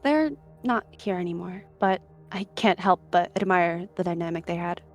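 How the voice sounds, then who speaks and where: A woman's voice: on the low side, normal speed, close to an everyday voice. Woman playing a friendly, chatty female cat. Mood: reflective. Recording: music behind the voice.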